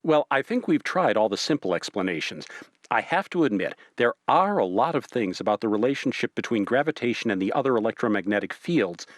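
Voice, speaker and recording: Actor playing male professor, hesitant, rational, animated melody, dry close sound, audio drama. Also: mid-range voice, brisk, dry recording. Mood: conceding. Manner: hesitant, musing. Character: tentative, rational professor and expert.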